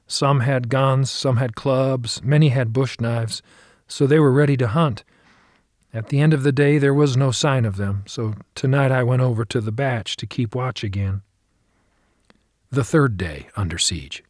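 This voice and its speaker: A man's voice: mid-range voice, unhurried, a little mellow, no echo. Man narrating an audiobook, very dry sound. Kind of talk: scripted audiobook narration of a memoir. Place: close, dry studio recording.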